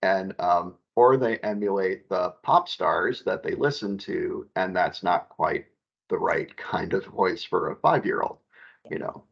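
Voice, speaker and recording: A low-pitched man with a gravelly edge speaks in a relaxed, chatty way, full of little fillers. He sounds like an elementary music teacher answering questions as a guest. The audio comes through a dull, lo-fi video call. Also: a little slow. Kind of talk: casual video call conversation.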